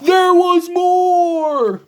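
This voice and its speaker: A woman voicing a big, emotional, needy male elephant from a children's picture book, with dramatic, drawn-out delivery. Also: extremely high pitch, voice pushed up, heavily acted. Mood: dramatic, regretful.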